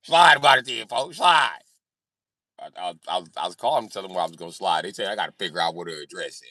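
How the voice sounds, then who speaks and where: A man's voice: low voice, lively intonation, fast talker, bright, forceful tone, no room sound, clean studio sound. A man chatting casually on a livestream in a brash, joking, slangy way with lots of swearing. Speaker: livestream host reading and answering comments. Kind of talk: casual profane livestream chat.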